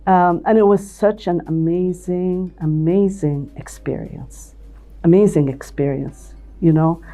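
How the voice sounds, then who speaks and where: A woman's voice: on the low side, a little slow, warm tone. An older woman from Ethiopia, a company CEO, telling her life story in an interview with many restarts and repeated words. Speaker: CEO and managing director, interview guest.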